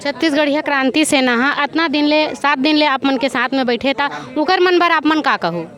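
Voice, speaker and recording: A woman's voice: sits high, moderate pace, a bit bright. A woman with a Chhattisgarhi accent conducting a colloquial field interview as a reporter.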